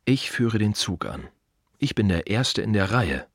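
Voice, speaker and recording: An earnest gravedigger in a radio play monologue. His voice is low and moves expressively through short, measured phrases. He sounds proud and reflective, recorded close and dry. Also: big pitch swings, no room sound, exaggerated voice. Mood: solemn, deliberate. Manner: measured, deliberate, short clipped phrases with pauses.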